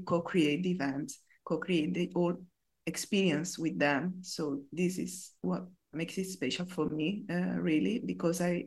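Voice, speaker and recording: A woman's voice: on the low side, a little mellow. A woman entrepreneur sharing her view earnestly in a discussion, with hesitations and fillers. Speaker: entrepreneur guest. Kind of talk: conversational discussion.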